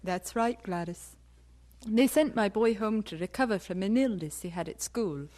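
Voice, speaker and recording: A woman's voice: mid-range voice, normal speed, natural voice. An actress playing a worried middle-aged mother, puzzled and fretful, in an audio drama. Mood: troubled. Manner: concerned, expressive.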